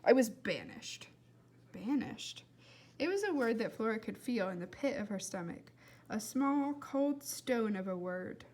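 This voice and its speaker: Storybook narrator, woman, slightly high register, expressive read-aloud delivery. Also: unhurried. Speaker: storybook reader.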